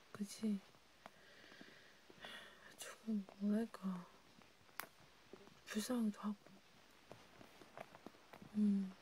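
Casting: woman for whispered ASMR role play, soft dark timbre, breathy, close and conversational, with faint noise behind. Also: medium pitch, rough recording. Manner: intimate, halting, informal one-sided conversation. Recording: steady background noise with clicks.

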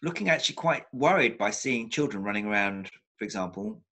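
Older guy, lowish voice, video call from home, lots of restarts and "very, very". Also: expressive, brisk, sharp tone. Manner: thoughtful, many restarts, repeats words for emphasis. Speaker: psychotherapist guest. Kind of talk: reflective video-call interview. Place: home room with bookshelves. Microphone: laptop webcam on a video call.

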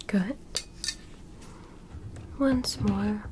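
A woman playing a polite, curious alien doctor in a whispered ASMR medical roleplay, speaking softly and breathily with gentle, formal attentiveness. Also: medium pitch, very slow, background noise. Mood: gentle, attentive. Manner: gentle, clinical, formally praising.

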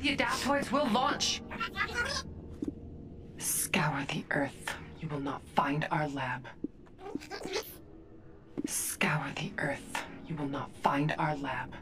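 Low, menacing villain scientist. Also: female voice. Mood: menacing, grandiose. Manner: taunting, theatrical, deliberate. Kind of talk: dramatic game villain acting. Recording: distant, very noisy footage playback.

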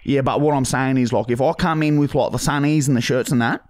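A man's voice: medium pitch, fast talker, natural voice. A man in his thirties with an Australian accent playing an aggrieved, dim-witted bogan customer on a prank call, rambling and repeating himself in slang. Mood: wheedling, aggrieved. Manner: repetitive, rambling, slangy, sweary. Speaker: podcast host making a prank call. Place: podcast studio. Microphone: close boom-arm podcast microphone.

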